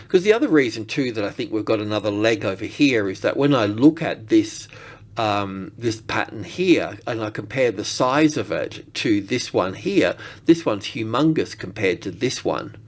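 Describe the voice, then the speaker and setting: A man giving unscripted market analysis as he works through charts, rambling and halting as he thinks aloud. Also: on the low side, lively intonation.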